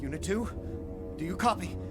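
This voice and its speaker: An actor playing a frantic military squad leader urgently barking orders in a science fiction audio drama. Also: male voice, sits high, lively intonation, moderate pace, over loud music. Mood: panicked.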